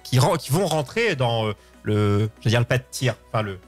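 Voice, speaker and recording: A man's voice: medium pitch, very animated, brisk. Give me a man hosting, music behind. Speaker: host of a live call-in show. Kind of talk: casual unscripted talk show hosting.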